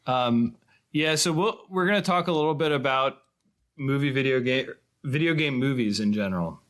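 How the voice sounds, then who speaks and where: A man's voice: medium pitch, normal speed, fairly bright tone. Casual, rambling male podcast host. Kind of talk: casual chat about films.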